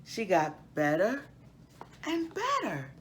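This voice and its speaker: A woman reading a children's book aloud as host, in an expressive, emphatic storytelling style. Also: mid-range voice, slow, slight background noise.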